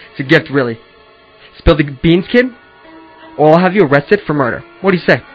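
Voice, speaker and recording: A man's voice: a little high, normal speed, lightly acted. A man playing a smug, corrupt policeman with an oily, taunting manner in a noir radio play. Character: smug, corrupt male police officer shaking down a detective. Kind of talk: 1940s radio noir acting. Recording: dull lo-fi audio with music.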